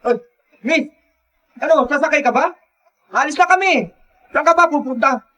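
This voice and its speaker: An actor playing an impatient barker hurrying passengers aboard. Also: male voice, very high-pitched, normal speed, sharp tone, rough recording.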